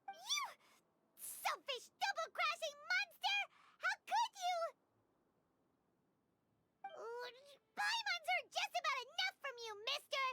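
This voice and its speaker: A woman voicing a tiny, feisty fairy girl companion in a video game, squeaky, childlike and exclamatory. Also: lively intonation, takes it slowly, pauses often, sharp tone, heavily acted. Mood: outraged, desperate. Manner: speaks of herself in third person, exclamatory. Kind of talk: video game character voice acting.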